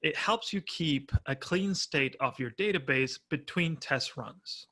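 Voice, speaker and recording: A man's voice: on the high side, a little slow, pushed and bright. A man in his thirties from Argentina with an Argentine Spanish accent, giving a friendly, explanatory prerecorded conference talk from home. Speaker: conference speaker.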